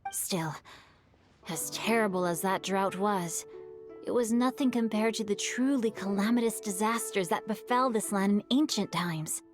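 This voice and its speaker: A woman's voice: mid-range voice, lightly acted. A woman playing a polite, bookish youth in a video game, speaking in an articulate, thoughtful and courteous way. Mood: calm, earnest. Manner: articulate, measured, courteous storyteller. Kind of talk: video game character acting. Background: game music.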